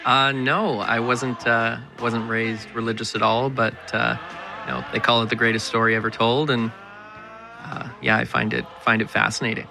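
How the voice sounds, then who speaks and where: A man in his thirties, a stage actor from Calgary, talking in a relaxed, modest way with many fillers. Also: mid-range voice, normal speed, creaky, a little hiss or hum. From Calgary, Canada.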